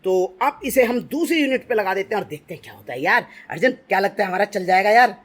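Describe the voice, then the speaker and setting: A man's voice: high-pitched, expressive, fast talker, fairly bright tone. A middle-aged Indian man talking casually and thinking aloud as he hosts a hands-on family DIY vlog, coaxing as he goes. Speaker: father hosting a family DIY electronics vlog. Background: music.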